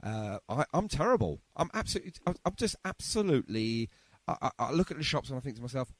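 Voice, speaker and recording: Chatty male radio host, very animated pitch. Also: fast, dry recording. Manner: effusive, chatty, repeats phrases. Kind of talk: casual chatty radio interview.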